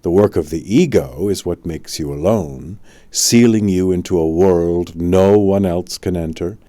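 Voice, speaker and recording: Man acting wise old wizard, very low dark voice, solemn, measured, instructive, audiobook mentor. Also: dry recording. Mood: gentle. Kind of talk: audiobook storytelling.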